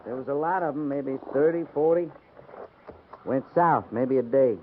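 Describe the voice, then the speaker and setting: A man's voice: medium pitch, moderate pace, lightly acted. Man playing folksy cavalry sergeant, tinny old radio. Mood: matter-of-fact. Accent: rustic American. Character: plainspoken, folksy male frontier cavalry sergeant. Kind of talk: 1950s radio western acting. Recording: tinny, noisy 1950s radio recording.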